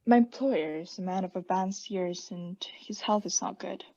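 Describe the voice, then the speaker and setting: A young woman playing a guilty, unhinged caretaker in a radio play, breathy and often whispering, with halting, insistent self-justification. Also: mid-range voice, mellow, dull audio, lightly acted. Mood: nervous politeness. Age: young adult. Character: guilty, unhinged caretaker, anxiously feigning calm politeness. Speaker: student actor.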